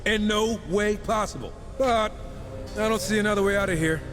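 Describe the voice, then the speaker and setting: A man's voice: sits high, moderate pace, music in the background. An actor playing a wisecracking, impatient horseman in a game cutscene, sardonic, cocky and casual. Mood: wry, resigned. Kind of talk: video game cutscene acting.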